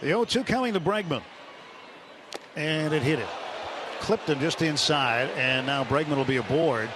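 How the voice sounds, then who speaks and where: A man's voice: fairly high pitch, expressive, normal speed. A man doing live radio play-by-play of a baseball game in clipped present-tense calls, as the announcer in the booth. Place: stadium broadcast booth. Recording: noisy live ballpark radio broadcast. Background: loud ballpark ambience.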